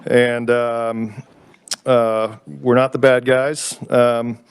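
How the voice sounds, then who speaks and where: A man's voice: medium pitch, level tone, smooth. A man giving spoken testimony to a legislative committee as a lobbyist. He speaks casually and halts often on fillers. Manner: casual, halting, many fillers. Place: state legislature committee room.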